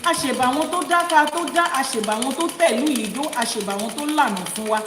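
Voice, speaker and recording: A woman's voice: high-pitched, animated, rapid-fire. A woman, teaching viewers on a live stream as an Ifá priestess, coaxing them with repeated questions and breaking into rhythmic chanted invocations. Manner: rhythmic, rising chant in long litanies. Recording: echoey reverberant room with background noise.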